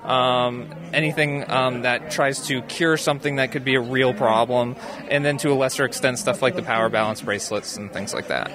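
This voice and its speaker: A man's voice: medium pitch, steady tone, moderate pace. An American man answering interview questions casually, hesitating and thinking aloud in an easygoing way. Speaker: interview guest, skeptics group founder. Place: busy convention venue. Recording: busy crowd chatter behind.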